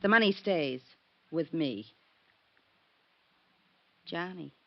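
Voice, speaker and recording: Cool, dry-sounding radio femme fatale. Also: female voice, fairly low pitch, lively intonation, extremely slow, vocal fry, no room sound, exaggerated voice. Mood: coaxing. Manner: commanding, coolly seductive. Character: cool, hard, greedy femme fatale with a gun. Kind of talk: 1950s radio drama acting. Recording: muffled old radio broadcast.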